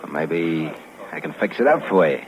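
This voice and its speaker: Low-voiced, shrewd underworld fence. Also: male voice, very animated, normal speed. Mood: offhand, shrewd. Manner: offhand, terse, businesslike. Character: shrewd, casual male fence buying stolen goods. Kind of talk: 1950s radio crime acting. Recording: muffled, hissy 1950s radio recording.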